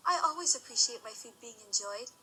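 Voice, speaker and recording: A woman's voice: on the high side, animated, a little fast, bright, forceful tone, faint music behind, low-quality recording. An actress playing a gracious middle-aged mother in an audio drama.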